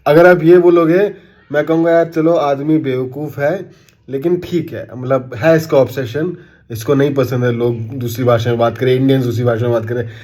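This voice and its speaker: A man's voice: mid-range voice, steady tone, normal speed, a little mellow. Indignant Indian man ranting. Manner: indignant, sarcastic, switches Hindi and English. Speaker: commentator. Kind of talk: opinion rant to camera.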